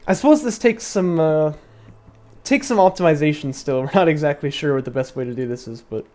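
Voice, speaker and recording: A young man with a somewhat high voice talks through a strategy session on a live stream. He thinks aloud, fills gaps with "like" and "um", and laughs often. His voice has turned slightly raspy from long talking. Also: brisk, a little mellow. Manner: chatty, thinks aloud with many fillers and laughs. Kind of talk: casual live-stream commentary.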